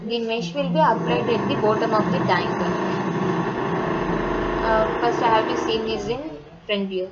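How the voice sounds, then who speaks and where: A woman with an Indian accent narrating a step-by-step software tutorial in a halting, instructional manner. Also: a little high. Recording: muffled, very noisy, vehicle sounds behind.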